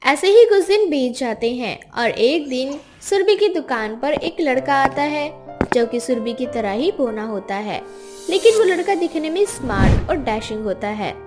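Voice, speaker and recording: High-pitched woman story narrator. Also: lively intonation, measured pace. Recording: music behind the voice.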